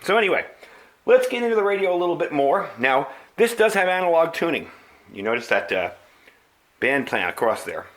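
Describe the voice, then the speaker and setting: A man's voice: fairly high pitch, sing-song, brisk, fairly bright tone. A man hosting a video channel, talking through a product review in a casual, plain-spoken, explanatory way.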